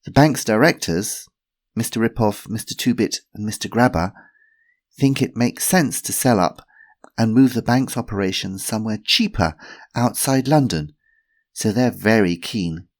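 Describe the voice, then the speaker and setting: A man's voice: fairly low pitch, voice pushed up, lightly acted. A man voicing a shy young woman who speaks hesitantly. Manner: hesitant, halting. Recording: roomy echo.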